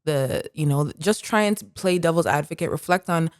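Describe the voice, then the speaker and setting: Low-voiced woman talking reflectively. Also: moderate pace, no room sound, studio quality. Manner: reflective, animated, many "like" fillers. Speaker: podcast co-host. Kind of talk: casual conversation.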